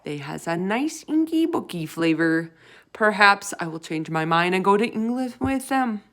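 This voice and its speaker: A woman voicing a huge, greedy, man-eating male giant in a storybook, loud, coarse and insulting in ungrammatical speech. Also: mid-range voice, sing-song, moderate pace, pitched above the performer's own voice. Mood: gloating. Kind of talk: storybook read-aloud character voice.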